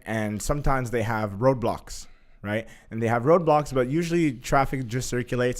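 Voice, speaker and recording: Young man, slightly low voice, casual storytelling, animated, lots of fillers, swears freely. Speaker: guest.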